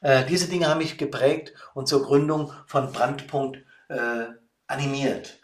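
A man's voice: mid-range voice, steady tone, a little slow, a little hiss or hum. A man, hosting a podcast in a casual, friendly way with frequent fillers. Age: 60s. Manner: chatty, warm-hearted, with frequent fillers. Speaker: podcast host and fire service trainer. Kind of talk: casual podcast talk and live session.

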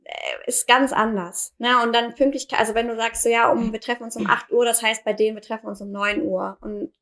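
A woman's voice: on the high side, little pitch movement, brisk, fairly bright tone. A young woman from Germany co-hosting a casual podcast, talking hesitantly with many fillers and self-corrections. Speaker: podcast co-host.

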